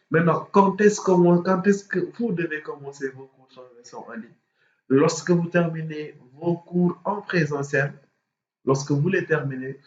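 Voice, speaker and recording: A man talks students through procedures, his voice stuffy from a cold. He speaks in a halting way, with lots of fillers and restarts. The recording is dull and lo-fi, with a roomy echo. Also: a little high. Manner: hesitant, many fillers and repetitions. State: has a cold, congested voice. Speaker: student mentor. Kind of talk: informal explanatory video.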